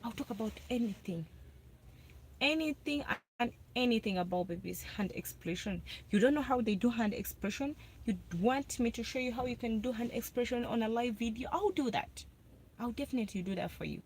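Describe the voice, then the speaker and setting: A woman's voice: fairly high pitch, a little fast, a little hiss or hum, rough recording. A young Ugandan woman hosting a casual live video about breastfeeding. She talks to her viewers, answers their comments and repeats herself a lot for emphasis. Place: parked car.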